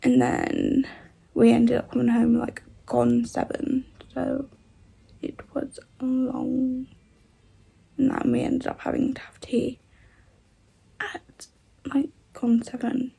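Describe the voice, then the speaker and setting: A twenty-year-old woman from the UK chatting in a rambling vlog, her voice rough from a cold. The tone is gravelly and husky, low and mellow, and it sits on a fairly flat pitch. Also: slow, long pauses, rough recording. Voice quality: rough, odd-sounding voice. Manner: rambling, many "like" and "and that" fillers. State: congested with a cold. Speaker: vlogger. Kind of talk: casual vlog chat.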